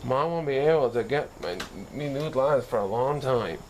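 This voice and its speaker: A man's voice: medium pitch, expressive, normal speed, slight background noise, close to an everyday voice, rough recording. A man voicing a hurt but resolute schoolboy dancer in a storybook, speaking in an earnest, pleading way. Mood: tearful, dejected. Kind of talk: storybook character dialogue.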